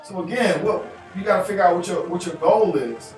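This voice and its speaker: A man in his thirties talking straight to camera, answering viewer emails with animated gestures and repeating phrases for emphasis. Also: high-pitched, brisk. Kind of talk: casual advice talk to camera. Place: indoor room in front of a chalkboard backdrop. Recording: echoey room, voice a bit far off, faint music.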